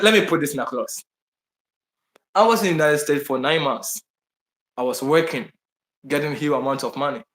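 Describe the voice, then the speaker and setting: A man's voice: mid-range voice, fairly bright tone, echoey room. A man with a Ghanaian accent chatting casually to his viewers, answering their questions in a rambling way with many restarts, fillers and emphatic repeats. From Bahamas, raised partly in Ghana. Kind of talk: casual live chat to viewers. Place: indoors in Brazil.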